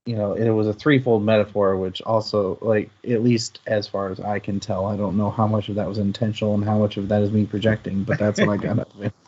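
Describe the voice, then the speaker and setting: A man's voice: lowish voice, level tone, fast, hardly any pauses, darkish tone, muffled recording. A man talking casually and thoughtfully as a panelist in a book discussion, analysing as he goes.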